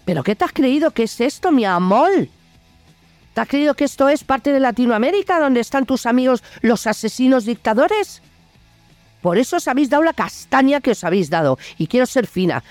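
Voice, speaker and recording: A woman's voice: fairly high pitch, darkish tone, no room sound. A woman with a Spanish accent from Spain delivers an opinionated political monologue in a blunt, indignant, colloquial way. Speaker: host of a political opinion video show.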